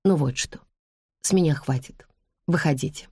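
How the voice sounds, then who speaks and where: A woman's voice: deep voice, normal speed, dark tone, slightly rough, no echo, clean studio sound. A woman voicing a weary, wry, plain-spoken woman driver in an audiobook, in a musing, matter-of-fact manner that turns firm when fed up.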